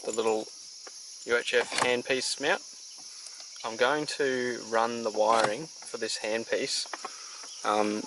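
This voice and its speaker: A man, casually explaining a radio install step by step while working, with relaxed pauses. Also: medium pitch, noisy background. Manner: relaxed, plain-spoken, frequent "uh" pauses. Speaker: DIY car install presenter. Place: outdoors beside and inside a vehicle.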